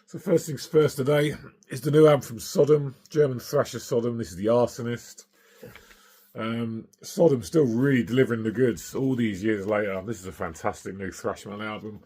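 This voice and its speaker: A middle-aged man with a hoarse, husky voice from singing, chatting casually and enthusiastically about records he has collected, with plenty of ums and uhs. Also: medium pitch, mellow. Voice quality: gravelly, husky. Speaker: vinyl collector vlogger.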